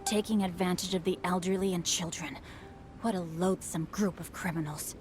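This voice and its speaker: A woman's voice: on the low side, fairly even intonation, normal speed, over music, natural voice. A woman voicing a polite, chivalrous teenage boy of a wealthy house in a video game, with flowery, courtly speech. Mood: earnest, chivalrous. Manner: polite, flowery, smooth-tongued. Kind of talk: English video game voice acting.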